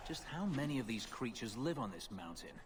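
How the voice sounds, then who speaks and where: An actor playing a grumbling, sceptical companion in a fantasy video game. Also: male voice, medium pitch, big pitch swings, a little fast, close to an everyday voice. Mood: sceptical, irritated. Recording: loud noise behind game dialogue.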